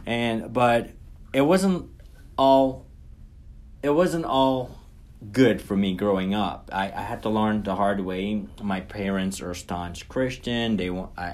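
A man's voice: on the low side, slow pace, darkish tone. A man from Guyana, an interview guest telling his story in an earnest, casual way that livens up when he recounts events.

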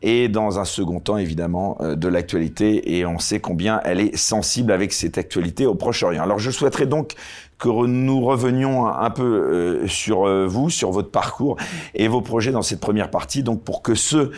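A man's voice: lowish voice, normal speed, a little mellow. A man hosting an interview show, speaking formally and hesitantly with frequent fillers. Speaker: talk show host.

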